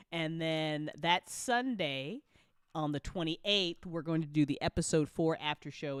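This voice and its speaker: A woman's voice: low voice, smooth, no echo, clean studio sound. A woman hosting a casual live chat show, exuberant and slangy, teasing people and swearing freely. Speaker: host of audio drama after show, show creator.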